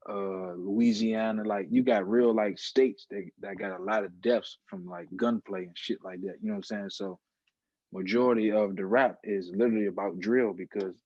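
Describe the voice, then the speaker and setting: Deep-voiced D.C. rapper, dark and dry. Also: male voice, fairly even intonation, moderate pace, dry recording, lo-fi sound. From Washington, D.C. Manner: blunt, slangy storytelling with many "you know what I'm saying". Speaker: rapper guest. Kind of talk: casual podcast interview.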